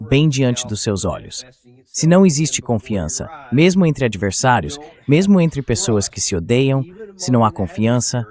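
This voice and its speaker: A man presents political commentary as the host of a show. His delivery is that of a translated voice-over, set at a middle pitch. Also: a little mellow.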